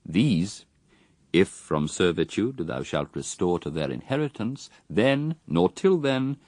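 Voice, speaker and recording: A proud tempter devil in a radio verse drama. The voice is very low and swoops expressively as it persuades with scheming, measured rhetoric, recorded dry and close. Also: male voice, very animated, a little mellow, no echo, full character voice. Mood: grandly urging. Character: Satan, proud, scheming tempter offering worldly power. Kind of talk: BBC radio drama, theatrical verse recitation.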